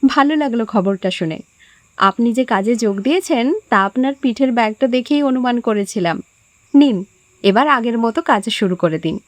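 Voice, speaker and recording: A woman acting a kind, friendly young working woman in an audio story, with a reassuring, gently teasing manner. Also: on the high side, animated, moderate pace, dry recording, natural voice. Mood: pleased, reassuring.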